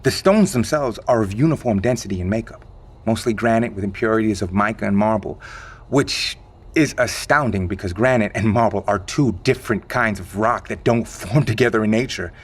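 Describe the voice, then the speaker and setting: An actor playing an evasive male insider who calmly explains a secret catastrophe in an audio drama, in a measured, matter-of-fact way. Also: lowish voice, slightly rough. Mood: expository, intrigued.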